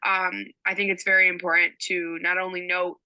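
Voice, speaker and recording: A woman's voice: lowish voice, flat delivery, moderate pace, pushed and bright, smooth, poor audio. A young woman giving a recorded class presentation on a teaching strategy, explaining step by step with frequent ums. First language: English.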